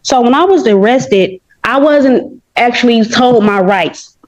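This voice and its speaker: A woman's voice: a little high, measured pace. A woman speaking as a defendant in court, asking questions in a persistent, colloquial way. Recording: dull, noisy courtroom microphone audio.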